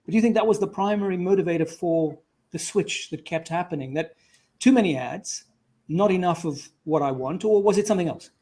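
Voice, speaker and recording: A man with a fairly high voice acts as interviewer. He speaks conversationally, framing questions and offering counterpoints to his guest. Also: fairly quick, mellow.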